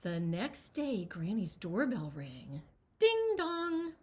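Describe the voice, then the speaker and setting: Very animated woman reading to children. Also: mid-range voice, takes it slowly, husky. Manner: expressive, addressing children with emphatic words. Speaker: children's picture book author. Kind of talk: chatty introduction and animated storybook read-aloud.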